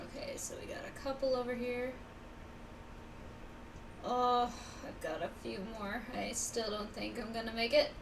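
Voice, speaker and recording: A woman's voice: on the high side, normal speed, creaky, distant mic, background noise. A woman live-streaming a video game, chatting casually and reacting to herself as she plays. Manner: reactive, talking to herself while playing. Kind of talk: casual playthrough chat. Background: video game music.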